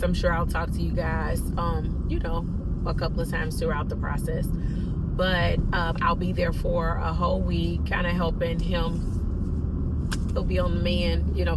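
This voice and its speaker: Hoarse woman rambling in a noisy car. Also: fairly low pitch. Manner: rambling, with many ums. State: hoarse, losing her voice. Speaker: vlogger. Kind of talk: casual vlog chat. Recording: phone in a car, loud road noise. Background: loud traffic and car noise.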